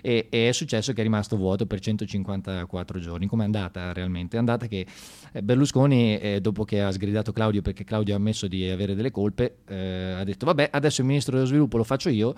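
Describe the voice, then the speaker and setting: A man performing a satirical theatre monologue, conversational and halting, with ironic mock praise and a readiness to get excited. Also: lowish voice.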